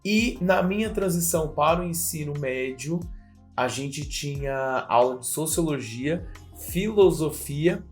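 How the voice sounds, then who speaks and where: A man, a public school teacher, recalling his childhood in a calm, reflective way. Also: on the high side, unhurried, soft background music. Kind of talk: personal testimony to camera.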